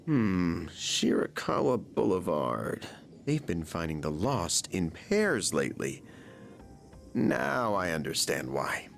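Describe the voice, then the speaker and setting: A man playing a composed, suave, analytical leader in an English video game dub, measured and thoughtful. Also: deep voice, very animated, creaky, soft background music, full character voice.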